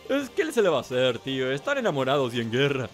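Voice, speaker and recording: A man's voice: medium pitch, wildly swooping pitch, quick pace, faint music behind. A man voicing a laid-back, goofy, slangy young man from a video game. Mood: teasing.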